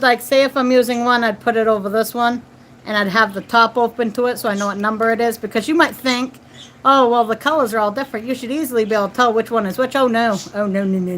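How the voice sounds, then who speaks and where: A woman's voice: on the high side, fairly bright tone, slight background noise, rough recording. A woman in her forties chatting casually to viewers as host of a livestream, repeating phrases and tag questions. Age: 40s. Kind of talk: casual live chat with viewers. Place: at home, children nearby.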